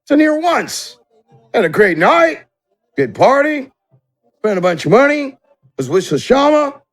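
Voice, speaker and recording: A man puts on a brash tavern keeper for a fantasy roleplaying game, pitched high for a man. He is busy, blunt and impatient, quick to mock. Music plays faintly behind him. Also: big pitch swings, exaggerated voice. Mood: boastful, amused. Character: gruff, brash German-looking tavern owner, busy and blunt.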